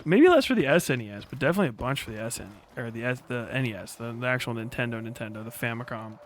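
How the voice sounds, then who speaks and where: A man's voice: mid-range voice, lively intonation, rapid-fire, very noisy. A man in his thirties hosting a casual livestream, rambling and swearing freely as he comments. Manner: profane, rambling. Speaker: livestream host.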